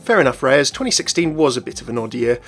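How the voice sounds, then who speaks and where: A man's voice: mid-range voice, sing-song, fast talker, fairly bright tone. A man hosting a gaming channel, delivering scripted news commentary. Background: music.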